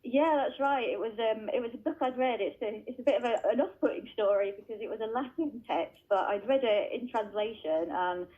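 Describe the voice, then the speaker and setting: A woman's voice: a little high, fairly quick, hardly any pauses, phone-quality sound. A woman children's author chatting as a radio guest from home, talking freely with lots of fillers and restarts. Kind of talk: casual interview answers. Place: at home, joining remotely. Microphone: remote line into radio studio.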